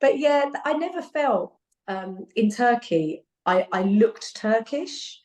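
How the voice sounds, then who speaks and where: A woman's voice: on the high side, slow pace. Laughing English woman in an echoey room. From England. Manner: animated, laughs often, repeats herself. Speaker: podcast guest. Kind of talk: casual conversation.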